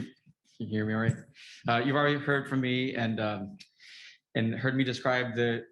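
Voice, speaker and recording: A man speaking as a trauma surgeon at a summit, in an earnest, halting way with many fillers and restarts. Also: mid-range voice, a bit bright, echoey room. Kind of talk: spoken talk at a summit.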